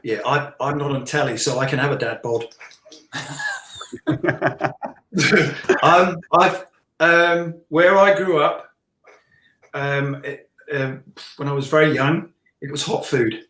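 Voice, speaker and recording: Middle aged guy, bit higher voice, jokey rambly chat, echoey room. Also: slow, long pauses, a bit bright. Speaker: illustrator and interview guest. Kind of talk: casual chat. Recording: roomy, echoey sound.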